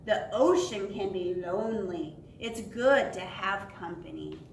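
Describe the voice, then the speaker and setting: Woman playing a gentle whale, deep and slow, echoey room, faint rumble. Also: natural voice. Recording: roomy, distant mic, faint rumble.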